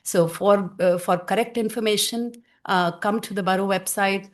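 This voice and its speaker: Halting woman addressing the council. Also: medium pitch, fairly even intonation. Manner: halting, many fillers and restarts. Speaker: council member. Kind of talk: formal remarks at a public meeting.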